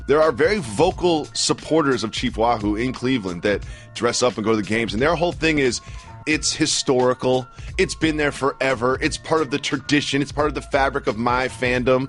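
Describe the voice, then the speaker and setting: A man's voice: medium pitch, a little fast, fairly bright tone, soft background music. An American man co-hosting casual sports radio banter, speaking emphatically, often repeating himself and asking lots of questions. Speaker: sports talk show co-host.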